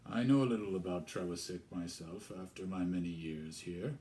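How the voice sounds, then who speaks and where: Casting: man reading as a forbidding, deep-voiced vicar, guarded and formal, very low register. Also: normal speed, warm tone, natural voice. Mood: wary. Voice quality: deep, mournful. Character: tall forbidding male vicar, deep mournful voice.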